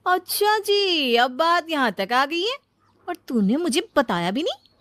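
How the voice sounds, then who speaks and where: Woman playing eager young daughter-in-law, higher voice. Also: big pitch swings, measured pace, fairly bright tone, dry recording, studio quality, exaggerated voice. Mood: teasing. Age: young adult. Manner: coaxing, teasing. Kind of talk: acted cartoon story dialogue.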